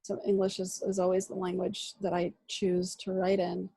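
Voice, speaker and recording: Husky-voiced woman, Russian native speaker. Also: fairly low pitch, completely flat delivery, mellow, no room sound. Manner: thoughtful, pausing often with "um". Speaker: poet. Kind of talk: reflective answers in an online reading Q&A. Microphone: video call.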